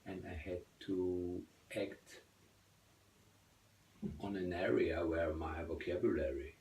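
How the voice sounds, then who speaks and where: Ein Mann mit tiefer, knarzender Stimme, der im Englischen nach Worten sucht und nachdenklich auf Interviewfragen antwortet. Der Raum hallt deutlich nach, und leises Rauschen liegt darunter. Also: male voice, sits low, steady tone, slow pace, darkish tone, roomy sound, a little hiss or hum, low-quality recording. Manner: hesitant, searching for words. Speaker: language learner being interviewed. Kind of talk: reflective interview answers.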